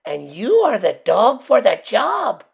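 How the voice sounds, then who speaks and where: A woman voicing a small, excitable, bossy male chihuahua bandit in a storybook, squeaky and emphatic. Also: takes it slowly, gravelly, breathy edge, muffled recording, full character voice. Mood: urging.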